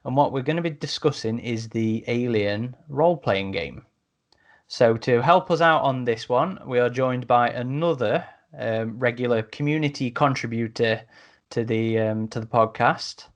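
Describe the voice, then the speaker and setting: A man hosting a casual podcast chat, with a halting, rambling delivery. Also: mid-range voice. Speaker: podcast host.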